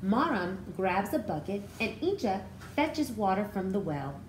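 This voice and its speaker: A woman teacher reading a storybook aloud to young children, expressively, pausing to explain it in simple, repeated words. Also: mid-range voice, very animated, a little hiss or hum, low-quality recording.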